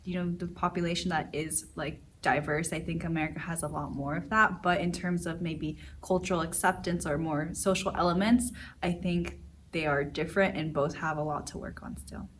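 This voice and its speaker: A woman's voice: low-pitched, fairly even intonation, moderate pace, fairly bright tone, a little hiss or hum. A teenage female student giving a thoughtful, articulate opinion. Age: teens. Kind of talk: opinion statement in a discussion.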